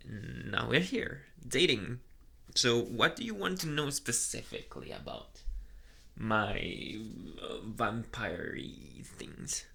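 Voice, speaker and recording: A man voicing a shy, flustered young vampire boyfriend in a soft ASMR roleplay, hesitant and stammering with nervous energy. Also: medium pitch, exaggerated voice. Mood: awkward, earnest. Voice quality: soft, breathy at times.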